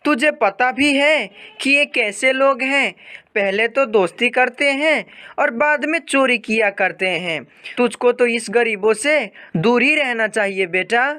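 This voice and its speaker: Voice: mid-range voice, measured pace, sharp tone, no room sound, studio quality, pitched above the performer's own voice. A narrator voicing a snobbish, suspicious rich father bird in a cartoon story. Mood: scolding.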